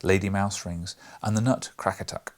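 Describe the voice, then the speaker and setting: A man reading a storybook in scripted narration, expressive and theatrical, with a husky, gravelly voice. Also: low voice, normal speed. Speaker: audiobook reader.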